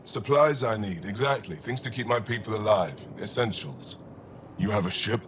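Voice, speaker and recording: An actor playing a shrewd alien cargo trader in a video game, with blunt, clipped phrasing. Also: male voice, fairly low pitch, expressive, normal speed, fairly bright tone, slight background noise. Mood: blunt, businesslike. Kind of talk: video game dialogue acting.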